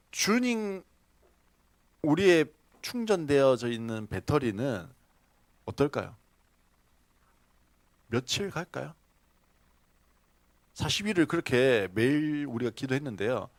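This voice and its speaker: Lively-voiced man preaching a sermon. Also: mid-range voice, vocal fry, no room sound. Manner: storytelling with questions to the congregation, laughing asides. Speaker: pastor. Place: church sanctuary.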